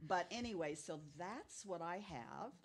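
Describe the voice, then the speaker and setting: A woman's voice: on the low side, lively intonation, unhurried, a loud crowd. An older woman telling stories casually and conversationally as a guest on a podcast.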